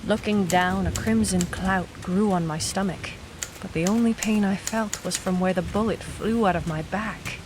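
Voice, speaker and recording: A woman's voice: mid-range voice, normal speed, background noise, lightly acted. An actress playing a hardboiled young woman private eye, wry and businesslike, in a noir audio drama. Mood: grim narration. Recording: music bed under the voice. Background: music.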